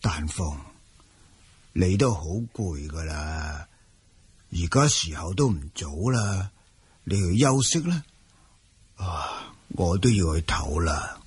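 An actor playing a weary, melancholy old statesman in a Cantonese radio drama, husky and sighing as he declaims. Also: deep voice, big pitch swings, slow pace, pauses often, exaggerated voice. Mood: gentle, tired. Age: older.

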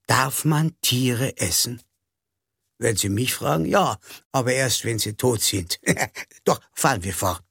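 An actor playing a grandiose, mock-solemn stage presenter in a radio comedy, wryly addressing an audience. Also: male voice, mid-range voice, a bit bright, no room sound, studio quality, exaggerated voice. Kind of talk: theatrical announcing in a radio comedy.

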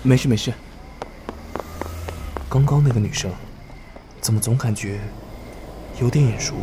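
A man's voice: mid-range voice, measured pace, noisy background, natural voice. A man playing a sober subway passenger, speaking in a serious, expository way in an audio drama. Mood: puzzled. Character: sober male subway passenger recalling a grim case.

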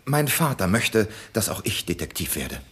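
Casting: a sly, mock-courteous schemer, low husky voice, lively pitch. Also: male voice, normal speed, full character voice. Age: young adult. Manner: cocky, mock-polite. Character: cheeky scheming young man, detective's son.

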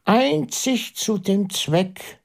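A man acting a shrewd, pensive priest sleuth in a German radio drama, deliberate and probing, with a husky voice. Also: sits high, heavily acted. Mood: pensive deduction. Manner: deliberate, probing, dropping into hushed asides.

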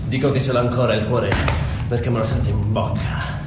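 A man's voice: mid-range voice, fairly even intonation, brisk, very noisy, lightly acted. A man acting in a radio play as a naive, lovestruck young misfit, effusive and clownish. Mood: lovesick. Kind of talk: Italian radio theatre acting. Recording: very echoey room, loud music behind.

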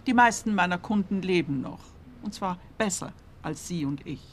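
An actress playing a stern, wealthy, worldly madam in a radio play, brusque and knowing, hard to impress. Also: female voice, lowish voice, lively intonation, moderate pace, natural voice. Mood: cool, brusque. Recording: clear background noise of a café scene.

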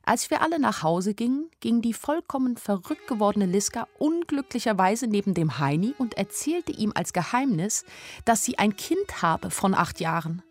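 A young village woman narrating a radio drama. Her voice sits at a medium pitch, and she tells things plainly, with naive, wry observation. Music runs underneath the studio recording. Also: sing-song, moderate pace. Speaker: narrator and main character of a radio play. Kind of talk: first-person radio play narration in a literary adaptation.

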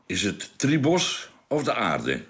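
A man's voice: medium pitch, huge pitch swings, slow, fairly bright tone, heavily acted. An actor playing a hesitant, questioning spaceship crewman in a science fiction radio drama. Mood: shaken. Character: low-voiced, questioning male spaceship crewman.

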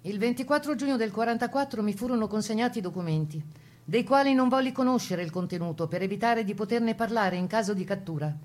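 A woman's voice: mid-range voice, fast talker, darkish tone, lightly acted. A woman playing a plucky Italian Resistance partisan, telling her wartime memories in the first person as a dramatized radio testimony. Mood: resolute. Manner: composed first-person storytelling.

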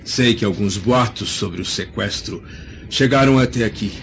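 A man's voice: on the low side, vocal fry. A Brazilian man acting a weary, middle-aged Roman senator and grieving father in a radio drama, speaking formally and solemnly. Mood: grave, guarded. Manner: formal, solemn, measured delivery. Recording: dull lo-fi radio drama with faint noise.

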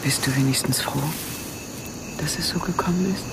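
An actress playing an intense, clinging widow in a German radio crime drama, with dramatic delivery that moves between breathy whispers and outcries. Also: female voice, sits low, moderate pace, noisy background. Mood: reproachful. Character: Janet, intense, clinging widowed lover, wounded and pleading.

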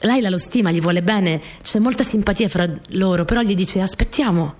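An actress playing a small, earnest, easily flustered young wartime partisan courier, telling her story in an intimate, expressive radio drama monologue. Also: mid-range voice, brisk, lightly acted. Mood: earnest, reflective.

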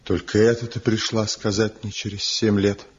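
A tormented returning lover. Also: male voice, lowish voice, flat delivery, moderate pace, breathy edge. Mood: bitter. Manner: impassioned, pleading, swinging between grief and resolve. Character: man returned after seven years, passionate, tormented lover. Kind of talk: 1970s radio play acting. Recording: dull lo-fi old radio recording.

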